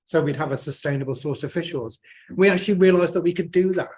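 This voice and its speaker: A man's voice: on the high side, fast talker, darkish tone. A man, speaking at a panel as a plant scientist, hesitant and full of fillers and restarts. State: croaky voice. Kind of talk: unscripted panel remarks.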